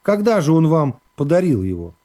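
An impatient presiding judge acted by a male reader questions in a formal radio courtroom drama. His voice sits high, and he prompts in a brisk official manner. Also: sing-song, warm tone. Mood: businesslike, prompting. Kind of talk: dramatised literary reading.

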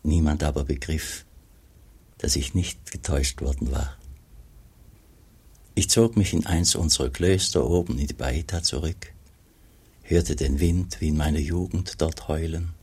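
An older man acting an aged, pious monk in a radio play, speaking gravely and humbly with a husky, sorrowful voice. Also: a bass voice, darkish tone, full character voice. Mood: melancholy. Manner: slow, grave, contemplative. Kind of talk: radio play narration and dialogue.

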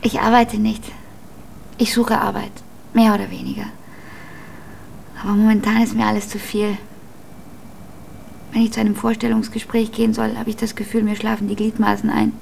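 Radio drama lead: a melancholy young female, creaky voice, fairly even melody, confiding, faint ambience. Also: fairly high pitch, moderate pace, pauses often, a little hiss or hum, poor audio. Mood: confiding, weary. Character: frank, melancholy young woman confiding in a stranger. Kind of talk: German radio drama acting.

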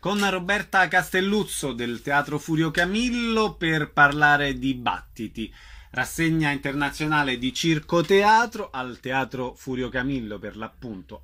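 A man's voice: medium pitch, pushed and bright. A man hosting a radio interview, asking questions and making announcements.

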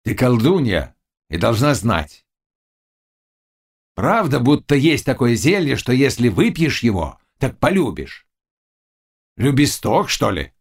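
A man's voice: mid-range voice, big pitch swings, slow, pauses often, slightly rough, voice pushed up, exaggerated voice. A man voicing a fierce, lovesick Cossack chieftain in an audiobook, shifting between brooding mutters and anguished cries. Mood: impatient. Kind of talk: audiobook character dialogue.

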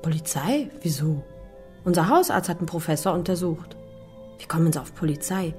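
Woman acting mellow, matter-of-fact nurse. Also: fairly low pitch, animated, brisk, faint music behind, natural voice. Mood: detached. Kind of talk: German radio drama acting.

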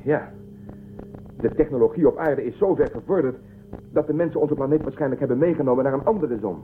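A man's voice: medium pitch, a little fast, a little hiss or hum, natural voice. A man playing an earnest starship commander in a science-fiction radio drama, questioning and persuasive in his delivery. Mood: earnest, explaining. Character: earnest young male starship commander searching for Earth. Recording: narrow-band muffled audio, faint music.

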